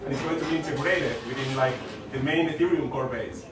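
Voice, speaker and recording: A man's voice: medium pitch, a little fast. Man explaining amid a crowd. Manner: explains with many likes and uhs. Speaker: audience member explaining. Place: conference hall. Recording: echoey hall, far from mic, crowd behind.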